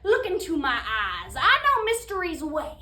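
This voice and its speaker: A woman performing a sassy, devout diner waitress in a theatrical monologue, preaching with emphatic, dramatic pauses. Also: high-pitched, huge pitch swings, pushed and bright, full character voice. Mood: fervent, emphatic. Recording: echoey room, distant mic, background noise.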